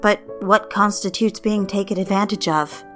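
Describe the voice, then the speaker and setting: A woman's voice: medium pitch, steady tone, normal speed, a bit bright, faint music behind. A woman narrating a dramatic story in the first person, speaking confidingly and directly to the reader. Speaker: narrator and lead character.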